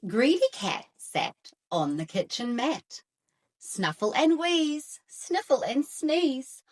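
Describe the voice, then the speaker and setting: A woman reading a children's picture book aloud with expressive, rhythmic phrasing. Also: high-pitched, slow. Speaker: storyteller.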